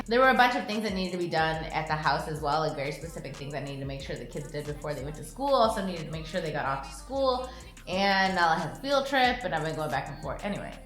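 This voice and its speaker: A woman, chatting casually to camera in the early morning, relaxed and quick to laugh, with a stuffy, sniffly nose. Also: lowish voice, echoey room. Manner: chatty and relaxed, laughs often, drifts off mid-sentence. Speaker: vlogger. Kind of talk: casual vlog chat to camera. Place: hotel room in the early morning.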